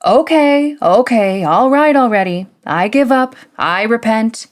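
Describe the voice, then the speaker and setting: A cocky young man with a sarcastic, needling edge, as a woman reading a story puts him on. His voice leaps and dips as he complains and teases, unhurried. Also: lowish voice, animated. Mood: coaxing.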